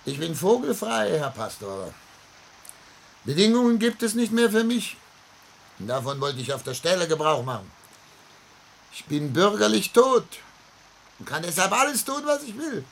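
An actor playing a distraught, outcast older gentleman in a German radio play, theatrical and bitter, swinging between hushed tones and outbursts. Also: sits high, big pitch swings, normal speed, creaky, pauses often, full character voice. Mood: agitated, bitter. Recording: faint noise on old radio recording.

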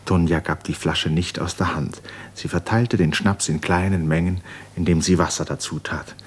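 Deep, husky male narrator. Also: steady tone, normal speed. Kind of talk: scripted literary narration for radio drama.

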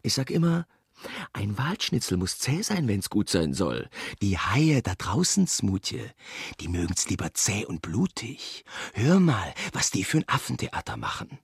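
A man playing a gruff, bossy whaling mate in a radio drama, husky and colloquial, needling and ordering about. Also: on the low side, very animated, a little slow, no room sound, clean studio sound, full character voice. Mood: bossy, mocking. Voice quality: gravelly, husky. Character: gruff, bossy ship's mate, fussy about his food.

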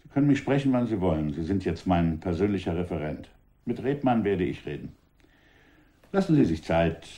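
A low, very soft-edged male voice playing a confident commissioner in a period radio drama. He is formal and assured, with dry irony in how he addresses a subordinate. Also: very animated, moderate pace. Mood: assured, wry. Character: wry, confident male commissioner general in revolutionary era. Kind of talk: German radio drama acting.

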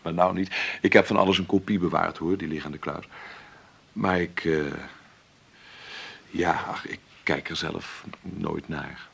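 An actor playing a wry, stubborn middle-aged film maker who talks haltingly and conversationally in a radio play interview. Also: male voice, sits low, fairly even intonation, creaky, fairly bright tone, breathy edge, exaggerated voice. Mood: stubborn, defiant. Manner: conversational, halting, adds tag questions. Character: celebrated 53-year-old film maker, wry, stubborn, self-made. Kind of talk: radio drama played as an interview.

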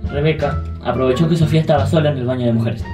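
An Argentine man reads solemn radio drama narration at a medium pitch. Music plays clearly beneath his voice. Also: fairly even intonation, rapid-fire.

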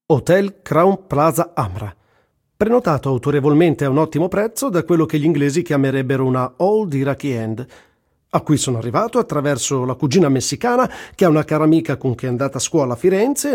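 A man reads a first-person travel diary as scripted radio theatre, in a measured storytelling manner. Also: fairly high pitch, very animated, a little mellow, breathy edge. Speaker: reader in a radio theatre production. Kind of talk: first-person literary narration over music.

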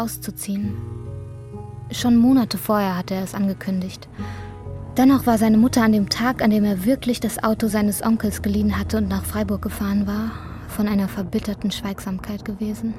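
Casting: female narrator, medium pitch, measured literary delivery, introspective, soft music underneath. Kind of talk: literary scripted narration in a radio drama.

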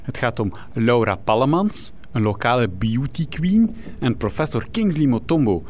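A man's voice: medium pitch, animated, normal speed, a little mellow, slight background noise, close to an everyday voice. A man with a Flemish accent playing a polite, earnest, matter-of-fact reporter in a comic radio drama.

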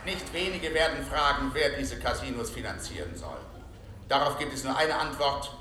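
An actor playing a sly British populist opposition leader, orating pompously and theatrically in a German radio play. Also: male voice, mid-range voice, lively intonation, moderate pace, bright, forceful tone. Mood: grandiose, persuasive. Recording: echoey hall sound with slight noise.

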